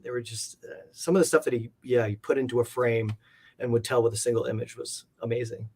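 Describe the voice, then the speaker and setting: Guy with a mid-pitched voice just chatting, kinda rambly and really keen. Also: little pitch movement, brisk, darkish tone, breathy edge. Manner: enthusiastic, rambling, reflective. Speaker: interview guest, art collector. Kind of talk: casual chat.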